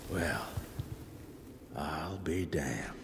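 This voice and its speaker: Laconic Wild West vampire hunter for a game, deep, wry, with noisy ambience behind. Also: male voice, drawn-out words, in a large hall, exaggerated voice. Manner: laconic, dry. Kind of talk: video game action hero acting.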